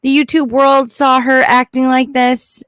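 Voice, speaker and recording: A woman's voice: sits high, flat delivery, measured pace, a bit bright, clean tone, dry recording, clean studio sound. A woman giving casual, opinionated true crime commentary in a blunt, informal way.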